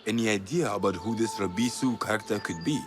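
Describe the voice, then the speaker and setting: An actor playing a seasoned, genial spymaster in a video game, musing and measured. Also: male voice, fairly low pitch, faint music behind, close to an everyday voice. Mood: knowing, musing. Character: knowing, genial male spymaster, seasoned guild leader. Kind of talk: dramatic game cutscene acting.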